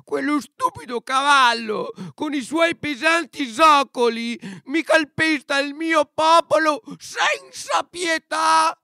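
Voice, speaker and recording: A man's voice: very high-pitched, lively intonation, slow pace, gravelly, hardly any pauses, pushed and bright, dry recording, studio quality, voice pushed up, full character voice. A man voicing an indignant little ant king in a fairy tale, with a squeezed, grumbling, exclamatory delivery. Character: indignant little ant king, complaining about careless beasts.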